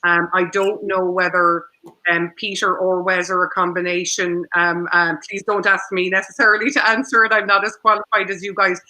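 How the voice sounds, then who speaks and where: A man's voice: high-pitched, level tone, moderate pace, pushed and bright, poor audio. A man moderating a panel discussion, putting wordy, circling questions in a tentative way.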